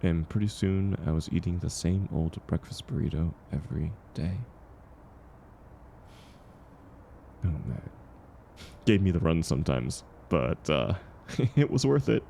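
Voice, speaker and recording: Male actor as kind weary drifter, very low voice, gravelly fry, unhurried, gentle, comfort audio roleplay, slight background noise. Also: expressive. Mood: wry, nostalgic. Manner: gentle, encouraging, unhurried, chuckling often. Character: kind, world-weary drifting performer, once homeless, comforting a stranger.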